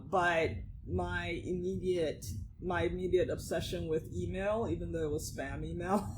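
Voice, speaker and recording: A woman around forty, a startup founder talking as a guest in a casual interview, animated, laughing often and saying um a lot. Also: fairly low pitch, a little hiss or hum, poor audio. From Nigeria.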